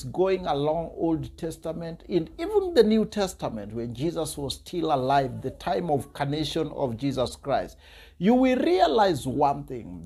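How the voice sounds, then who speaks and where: A man's voice: fairly high pitch, warm tone, breathy edge. A man in his thirties hosting a church channel, talking to camera in an emphatic, preacherly way with many fillers. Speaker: host. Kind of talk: commentary to camera. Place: small indoor studio.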